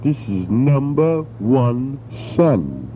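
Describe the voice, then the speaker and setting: A man's voice: medium pitch, sing-song, very slow. A middle-aged man, rambling casually and jokily as he records a spoken letter to his family on a 1960s home cassette. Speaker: father recording a taped letter. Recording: muffled, noisy 1960s home cassette tape.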